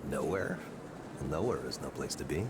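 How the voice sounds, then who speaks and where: Deep-voiced smug boss over loud traffic. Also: male voice, lively intonation, moderate pace. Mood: smug, needling. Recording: loud outdoor city traffic noise. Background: street ambience with vehicles.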